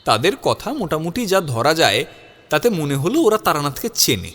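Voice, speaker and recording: A man's voice: fairly high pitch, very animated, normal speed, a bit bright, big-room sound. A man narrating a scripted audio story in the first person, dramatising it as he goes.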